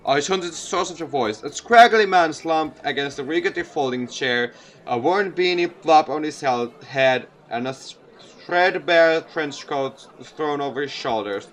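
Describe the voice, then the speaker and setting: Expressive higher-voiced man reading a game aloud. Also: measured pace. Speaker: let's player. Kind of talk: reading a visual novel aloud.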